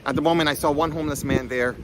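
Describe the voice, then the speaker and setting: A man giving an informal spiritual talk with personal stories, in an animated, conversational manner. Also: fairly high pitch, very fast, noisy background. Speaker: spiritual healing coach and vlogger.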